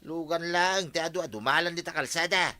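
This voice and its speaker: A man's voice: fairly high pitch, brisk, pushed and bright, no room sound. A man with an Ilocano accent playing an opinionated, chummy village man in a radio comedy. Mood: insistent, chummy.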